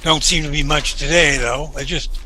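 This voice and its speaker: An American actor playing a folksy, obliging Old West deputy in a radio drama, with a drawling, deferential manner. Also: male voice, fairly high pitch, steady tone, normal speed, sharp tone, a little hiss or hum. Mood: obliging, hesitant. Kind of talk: western radio drama acting.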